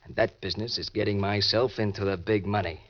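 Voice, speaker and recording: An American actor playing a hard-boiled, cocky ex-convict obsessed with money in an old-time radio crime drama, talking in tough slang. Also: male voice, on the low side, steady tone, a little fast, close to an everyday voice. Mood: defiant, curt. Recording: dull vintage radio recording with music.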